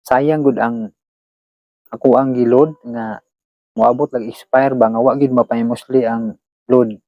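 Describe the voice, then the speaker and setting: A man's voice: mid-range voice, steady tone, unhurried, no echo. Casual Filipino man, dull dry recording. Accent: Filipino, Cebuano. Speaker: channel host. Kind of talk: casual chat to listeners.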